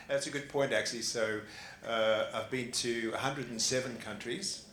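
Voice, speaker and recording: A man's voice: mid-range voice, steady tone, a little slow, a bit bright. A man with an Australian accent speaking on a conference panel, chatty and laughing, with many fillers and restarts. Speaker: panelist, family office founder. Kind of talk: casual panel talk. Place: conference hall. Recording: roomy hall with faint ambience.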